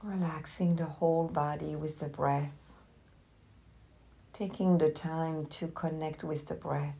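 Slow, low-voiced woman guiding meditation. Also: fairly even intonation, creaky. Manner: gentle, unhurried instruction with long pauses. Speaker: meditation teacher. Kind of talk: guided meditation.

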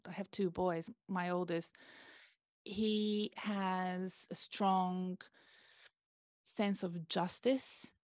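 A woman career coach speaking as a guest in a reflective conversation, with a calm, measured voice. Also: on the low side, no echo, clean studio sound. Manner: measured, thoughtful, unhurried.

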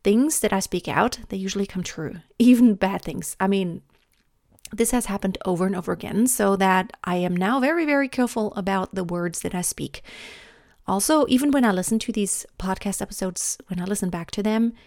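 A woman's voice: mid-range voice, fairly quick, darkish tone, no echo, studio quality. A middle-aged woman from Germany, speaking English with a German accent, hosting a reflective solo podcast and often restarting her sentences. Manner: thoughtful, stumbles and restarts often. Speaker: podcast host.

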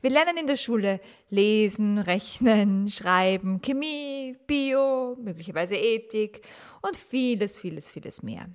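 A woman in her forties hosting her own podcast, telling a personal story about how she learned to talk with children, calm and reflective, asking the listener questions. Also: mid-range voice, unhurried. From grew up in the 1980s and 1990s. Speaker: podcast host, teacher and mother.

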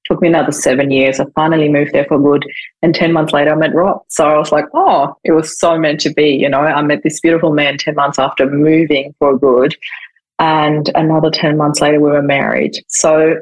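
A middle-aged woman from Austria, a podcast guest on a remote call, telling her life story in an animated way and acting out quotes. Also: low-pitched, fairly quick, poor audio. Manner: animated, laughs often, acts out quotes. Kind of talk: conversational storytelling.

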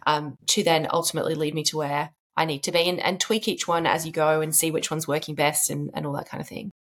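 Encouraging woman, low-pitched host. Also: little pitch movement, quick pace. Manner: encouraging, earnest. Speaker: podcast host. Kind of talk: casual interview chat.

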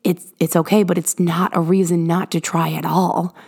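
A woman hosting a motivational podcast, her voice hoarse and raspy from illness, talking earnestly and encouragingly from her garage. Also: lowish voice, little pitch movement, darkish tone. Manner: earnest, encouraging, drawn-out emphasis. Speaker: podcast host.

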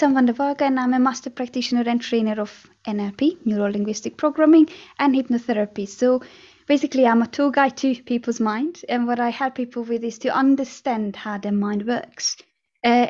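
A woman from Hungary, a guest telling her story in a casual interview, earnest and dotted with fillers and soft laughs. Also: a little high, brisk, highs cut off. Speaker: guest, NLP trainer and hypnotherapist.

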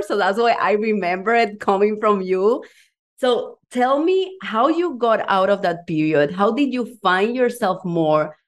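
Woman podcast host and coach, effusive and upbeat, mid-pitched voice. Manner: enthusiastic, effusive. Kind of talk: warm interview chat.

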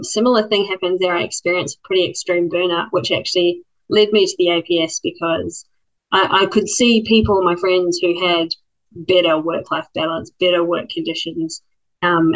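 A woman from New Zealand with a New Zealand accent, giving thoughtful, measured answers as a program participant. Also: on the low side. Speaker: program participant, former lawyer. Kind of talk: reflective interview answers. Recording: muffled lo-fi audio.

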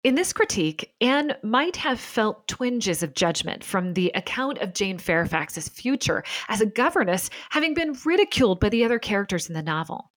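A woman's voice: mid-range voice, sing-song, moderate pace, few pauses, dry recording. Woman narrating a scripted history documentary, dry studio sound. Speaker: podcast host and narrator.

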